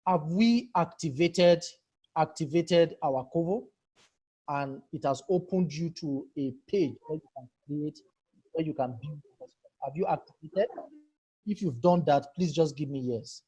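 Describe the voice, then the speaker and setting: A man's voice: on the high side, a little slow, dark tone, breathy edge, muffled recording. A man teaching a live online workshop over a video call, patiently walking participants through building a form and calling on them by name for feedback. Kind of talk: live screen-share tutorial. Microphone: computer mic on a video call.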